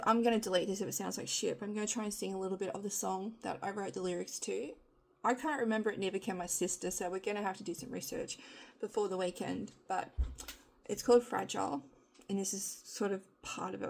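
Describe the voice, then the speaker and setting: A middle-aged woman with a husky, slightly nasal voice talks straight into a phone she holds in her hand. She is hoarse from being sick, rambles along in casual vlog chat, swears offhandedly and pokes fun at herself. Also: medium pitch. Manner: rambling, frank, self-deprecating, swears casually. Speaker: vlogger. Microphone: handheld phone camera.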